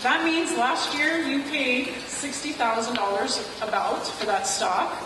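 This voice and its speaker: A woman's voice: high-pitched, a little slow. Woman presenter, slight Dutch-sounding accent, chatty, distant echoey room. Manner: chatty and joking. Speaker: wealth advisor presenting. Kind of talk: informal presentation to an audience. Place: large echoey venue, a car showroom. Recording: echoey hall from far off, noisy.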